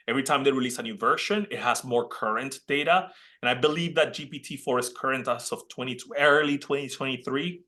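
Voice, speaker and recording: A bright-voiced man in his thirties with a Puerto Rican accent gives a casual webinar presentation. He talks in a chatty way, restarting sentences and filling gaps with "uh." He is heard through a computer mic on a video call. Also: medium pitch. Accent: Puerto Rican Spanish. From Puerto Rico. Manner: chatty, many restarts and "uh" fillers. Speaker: college dean presenting a webinar.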